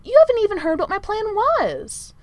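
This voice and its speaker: A woman's voice: very high-pitched, animated, a little slow, dry recording, pitched above the performer's own voice. A woman voicing a flustered, hapless young manservant in a storybook, blurting and hesitant. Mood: indignant.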